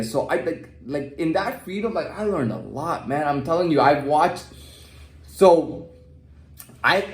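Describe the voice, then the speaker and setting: A man talking candidly in a casual, rambling personal monologue, repeating himself and checking that the listener follows. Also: on the high side. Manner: candid, repetitive, often checking with "you know what I mean". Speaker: vlogger telling personal stories. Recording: echoey, roomy sound.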